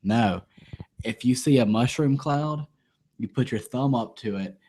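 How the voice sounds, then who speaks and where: A man co-hosting a podcast, telling animated stories about games and laughing as he goes. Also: medium pitch, a little slow, a little hiss or hum. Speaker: podcast co-host. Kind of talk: casual chat, storytelling.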